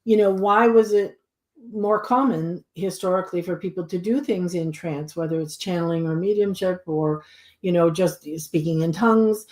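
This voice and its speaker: A woman guest who channels, explaining her ideas in a thoughtful, measured way. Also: fairly low pitch, darkish tone. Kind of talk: casual conversation. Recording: roomy echo.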